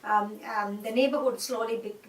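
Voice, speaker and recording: A woman's voice: medium pitch, fairly even intonation, normal speed. Indian-accented woman in an echoey room. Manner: reflective, earnest, many fillers and restarts. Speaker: interviewee. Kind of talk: conversational interview. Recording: echoey room, mic at a distance.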